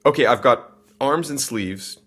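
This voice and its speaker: A man's voice: mid-range voice, measured pace, bright, forceful tone, slightly rough, faint music behind. An actor playing a polite, dutiful new male sentry in an audio drama, hesitant and deferential in his speech. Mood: careful, helpful.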